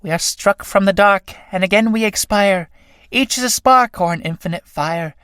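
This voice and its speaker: A woman voicing a chorus of ecstatic, doomed moths in a low, hushed, chanting recitation. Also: moderate pace, clean tone, no room sound, studio quality, close to an everyday voice.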